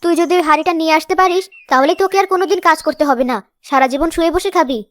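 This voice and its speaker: Woman acting a shrill-high, scheming village sister-in-law. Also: racing, no room sound. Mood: sly coaxing. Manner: bossy, sly, coaxing. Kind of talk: cartoon story voice acting.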